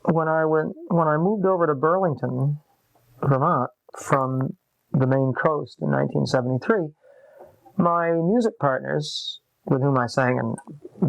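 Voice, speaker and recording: Man in his late forties, scratchy gravelly voice, unhurried reflective talk, dry close sound. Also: medium pitch, dry recording. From Massachusetts. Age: 40s. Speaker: interviewee, musician. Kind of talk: relaxed conversational storytelling. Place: upstairs room of an apartment, at a desk.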